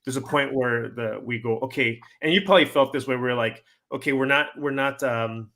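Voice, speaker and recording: Male interview host with a bright, sharp tone, conversational, stumbling over and repeating his phrases. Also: medium pitch. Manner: halting, repeating himself. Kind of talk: casual chat.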